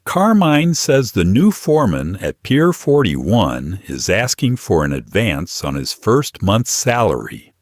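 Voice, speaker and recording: A commanding mafia boss, as a male narrator plays him, very deep and controlled. He speaks tersely in an audiobook drama, with lively turns of pitch and a dry sound. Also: takes it slowly, few pauses, no room sound, heavily acted. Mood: businesslike.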